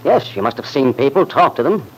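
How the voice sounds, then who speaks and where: An actor playing a jealous, irritable young husband with a curt, needling manner in an old-time radio soap opera. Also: male voice, mid-range voice, very animated, fairly quick, slightly rough. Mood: angry, accusing. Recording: noisy vintage radio recording.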